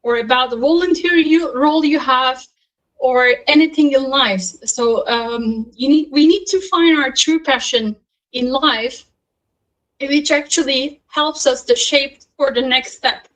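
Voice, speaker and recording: A woman's voice: high-pitched, measured pace, fairly bright tone, poor audio. A woman in her thirties from Turkey, speaking on a panel over a video call and telling her career story earnestly, with many fillers and restarts. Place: home or office in Istanbul.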